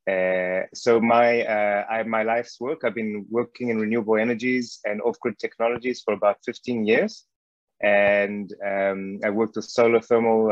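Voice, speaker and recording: A man's voice: on the low side, dry recording. Muffled man from South Africa on a call. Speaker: guest lecturer. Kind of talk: informal online teaching talk. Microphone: wireless earbuds on a video call. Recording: muffled video call audio.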